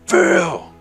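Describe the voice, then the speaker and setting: A young man giving casual, rambling live commentary while playing a game, swearing when frustrated. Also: fairly bright tone. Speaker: game streamer.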